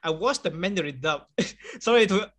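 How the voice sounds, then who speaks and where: A fairly high, bright-voiced man whose first language is Chinese talks loosely as a guest. His tone is forceful and clear, and he keeps laughing lightly between thoughts and leans on fillers as he goes. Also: expressive, moderate pace. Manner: chatty, laughs often, many fillers.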